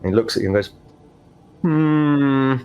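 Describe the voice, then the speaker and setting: A man's voice: fairly low pitch, lively intonation, fairly quick, highs cut off, soft background music. A man running a tabletop roleplaying game, narrating and asking players questions in a casual conversational way. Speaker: game master.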